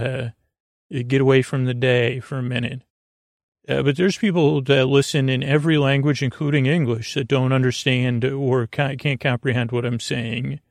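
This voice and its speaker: Male bedtime-podcast host: creaky fry, dry sound, low-key meandering delivery with unfinished thoughts. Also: dry recording. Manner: meandering, halting, trailing off mid-thought. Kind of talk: rambling, casual bedtime monologue.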